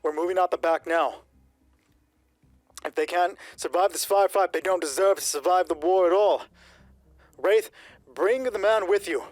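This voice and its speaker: A man's voice: sits high, normal speed, long pauses, no room sound, lightly acted. A man voicing a callous squad commander in a tabletop roleplay, giving terse orders. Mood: cold command.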